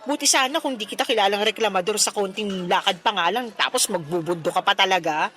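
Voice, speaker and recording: A woman's voice: mid-range voice, quick pace, bright, forceful tone, faint music behind, close to an everyday voice. A woman playing a teasing, protective best friend in a radio drama, skeptical and worried, with a Filipino accent. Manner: teasing, nagging, concerned. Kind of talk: Tagalog radio drama dialogue.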